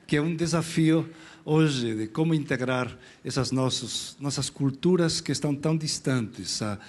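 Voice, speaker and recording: A middle-aged man with a Spanish accent speaks from a conference stage. His voice sits at an ordinary male pitch, and he talks in a relaxed, rambling way, poking fun at himself and restarting his sentences. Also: measured pace. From Spain. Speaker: lecturer. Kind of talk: conference talk.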